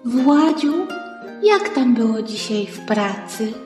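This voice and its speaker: A woman's voice: on the high side, lively intonation, slow pace, a little mellow, voice pushed up. A woman voicing a playful, chirpy female character in a comic sketch from a children's history story. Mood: doting. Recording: echoey hall, distant, music behind.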